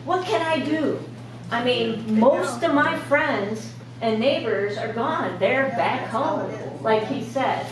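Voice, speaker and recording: A woman with a fairly high, loud voice speaks up eagerly at a community meeting and fires off questions. She is heard from far off in a very echoey room, with the murmur of a crowd around her. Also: animated, slow. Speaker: local resident.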